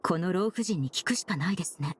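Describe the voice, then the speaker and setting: A woman playing a composed, polite young investigator in a video game, formal, measured and analytical, used to giving orders. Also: lowish voice, a little hiss or hum, natural voice. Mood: commanding, calm. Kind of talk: Japanese game voice acting.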